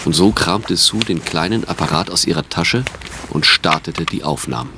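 Very deep-voiced male narrator. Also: moderate pace, noisy background. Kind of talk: scripted audio drama narration.

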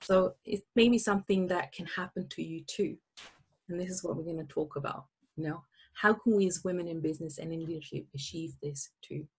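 A woman's voice: on the low side, a little fast, husky. A woman from Austria, not an English speaker, hosting an online summit in a chatty presentation with many fillers, over a webcam on a video call. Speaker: summit host. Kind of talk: webinar presentation. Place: indoor room.

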